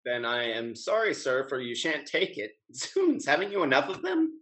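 An actor playing a carefree, impulsive young gentleman in a period comedy, with exuberant, declamatory delivery over a video call. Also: mid-range voice, huge pitch swings, moderate pace. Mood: stubborn. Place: indoors at home, video call.

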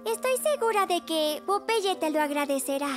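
An actor voicing a curious, supportive little girl in a Latin American Spanish children's cartoon, expressive and childlike. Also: very high-pitched, moderate pace. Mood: gentle. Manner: bright-eyed, expressive, childlike. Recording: clear music behind the voice.

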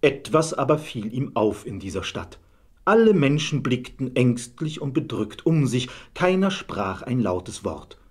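A man's voice: mid-range voice, sing-song, a little slow, husky. A man narrating a scripted fairy tale as the storyteller.

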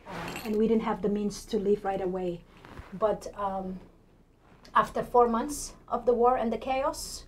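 A woman's voice: mid-range voice, rough recording. A woman in her forties with a Somali accent, answering interview questions conversationally as a political candidate. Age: 40s. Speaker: city council candidate, interview guest. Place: indoor TV studio at a desk. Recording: clear background noise.

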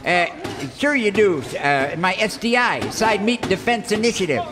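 An actor playing a cranky, eager old cowboy cook sidekick in a western radio parody, folksy and stubborn. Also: male voice, high-pitched, animated, normal speed, in a large hall, background noise. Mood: pleading, stubborn.

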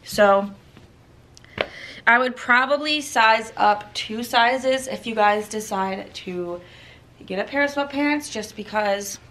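A young woman in her twenties talking straight into a handheld camera at home. Her voice is a little hoarse and worn from a sore throat, and she rambles along in easy, chatty bits full of "like.". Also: a little high, slow, fairly bright tone. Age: 20s. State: slightly hoarse, losing her voice. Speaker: lifestyle vlogger. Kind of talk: casual vlog chat. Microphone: handheld compact camera.

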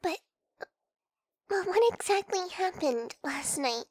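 A woman acts out a flustered young female friend in an intimate ASMR roleplay. Her voice is high and soft, with hesitant, stammering delivery. The sound is dry, with hardly any room in it. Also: lively intonation, slow pace, no room sound, heavily acted. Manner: hesitant, stammering, soft-spoken with breathy whispered moments.

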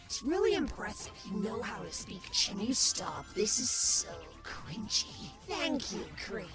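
A woman's voice: fairly high pitch, sing-song, a little slow, over music. A woman voicing a creepy but lonely, sensitive doll character in a hesitant, plaintive cartoon voice. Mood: awkward, hurt.

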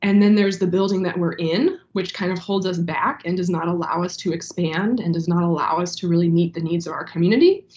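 Young woman, congested stuffy voice, low-pitched, hesitant formal testimony, dull webcam call audio. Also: darkish tone. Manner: hesitant, many ums and restarts. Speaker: library director giving testimony. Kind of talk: formal testimony at an online meeting. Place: home room, indoors. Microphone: video call webcam.

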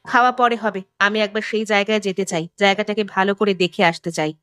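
A woman's voice: mid-range voice, quick pace, sharp tone, smooth, lightly acted. A woman playing a fearless, level-headed young village daughter in a horror cartoon, firm and questioning. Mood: calm, reasonable. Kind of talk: animated horror story acting.

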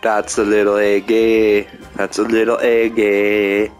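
Young male gamer, drawling and unhurried, goofy self-mocking chatter, light music bed. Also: on the low side, completely flat delivery. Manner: rambling, self-mocking, breaks into silly sing-song chants. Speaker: gameplay commentator. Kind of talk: casual gameplay commentary.

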